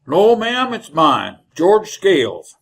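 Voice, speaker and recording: Guy doing a greedy old west wagon driver, kinda high voice, folksy cowboy talk, callous, radio drama. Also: huge pitch swings, takes it slowly, gravelly, full character voice. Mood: folksy, agreeable. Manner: drawling rustic cowboy grammar, blunt, jovially callous. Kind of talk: old-time radio western acting.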